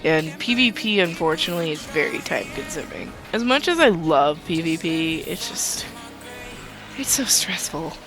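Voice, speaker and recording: Young woman gaming host, calm soothing ramble, casual, background music under the voice. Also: low-pitched, moderate pace. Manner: calm, soothing, rambling talk with light laughs. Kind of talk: casual gameplay commentary.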